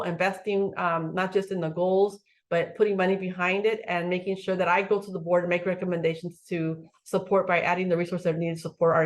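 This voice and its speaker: A middle-aged woman, a school superintendent, giving a webinar presentation in an earnest way, with many fillers and restarts. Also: fairly low pitch.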